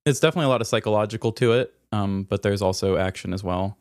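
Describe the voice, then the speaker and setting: A man's voice: on the low side, very fast, darkish tone, dry recording. Man in his thirties, close dry sound. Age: 30s. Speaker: podcast host running a quiz. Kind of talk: casual chat.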